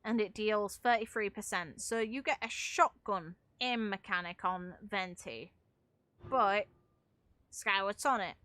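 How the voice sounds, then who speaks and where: Bright-voiced woman giving casual game commentary. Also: medium pitch, unhurried, no echo. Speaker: video game streamer.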